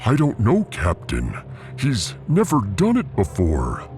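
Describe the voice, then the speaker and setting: A man's voice: medium pitch, wildly swooping pitch, takes it slowly, darkish tone, soft background music. A man playing an earnest, melodramatic starship lieutenant who dotes on a pet cat, in a sci-fi audio drama. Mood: shocked. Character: emotional, doting cat-owning starship lieutenant.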